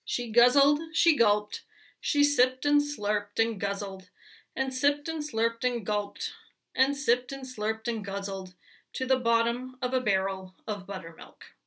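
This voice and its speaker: A woman reads a children's picture book aloud, slow and unhurried, her voice bright and clear. Her pitch swings widely as she leans into the rhythm of each line, and she sits a little back from the microphone. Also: distant mic. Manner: expressive, rhythmic storytelling. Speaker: teacher reading aloud.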